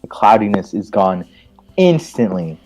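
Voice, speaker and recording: A man's voice: lowish voice, big pitch swings, a little slow, darkish tone, faint music behind, rough recording. A man reading visual novel narration aloud among friends in a playful, jokey way.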